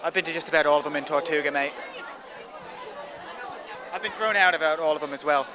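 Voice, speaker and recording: A man playing a cocky, distracted film pirate captain in an in-character interview, deadpan and evasive. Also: on the high side, fast talker, lightly acted. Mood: boastful. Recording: very noisy, loud convention crowd.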